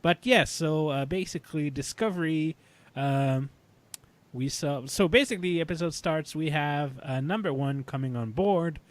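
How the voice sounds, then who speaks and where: Male podcast host, 30s. Slightly high-pitched, dry close sound, rambling casual recap delivery with halting phrasing. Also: dry recording. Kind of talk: casual fan discussion, episode recap.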